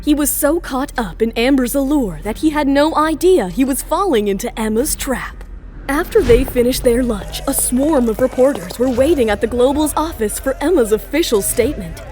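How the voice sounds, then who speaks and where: A woman's voice: high voice, expressive, moderate pace, warm tone. A woman narrating a scripted audio drama in a dramatic storytelling style, with music underneath. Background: background music.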